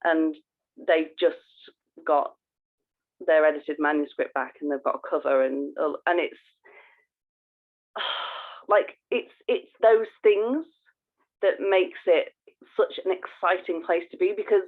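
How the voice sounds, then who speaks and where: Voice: medium pitch, steady tone, slow, long pauses, narrow, tinny audio. A podcast co-host chatting casually and effusively, thinking aloud while talking.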